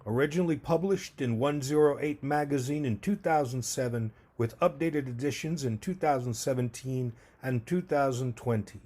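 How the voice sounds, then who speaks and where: A man reading a scripted historical essay aloud in a measured, clearly enunciated way. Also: mid-range voice, a little mellow. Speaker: audio essay reader.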